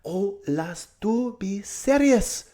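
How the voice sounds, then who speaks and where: A serious young noble girl on the run acted out by a man, pitched high for a storybook. She is bossy and anxious, pressing every phrase with hissed insistence. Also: animated, very slow, mellow, exaggerated voice. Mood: serious, exasperated.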